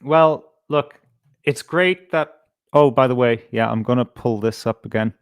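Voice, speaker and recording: A man's voice: medium pitch, a little slow, clear, resonant tone, no room sound, clean studio sound. A man hosting a live stream, talking casually in a rambling, sweary, unscripted way. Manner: rambling, sweary, easily distracted. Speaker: host of a live stream show.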